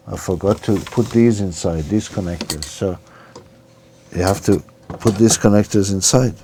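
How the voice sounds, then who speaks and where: A man's voice: lowish voice, slight background noise. A man narrating an informal hands-on repair tutorial, giving careful step-by-step instructions and sometimes muttering to himself. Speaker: repair demonstrator.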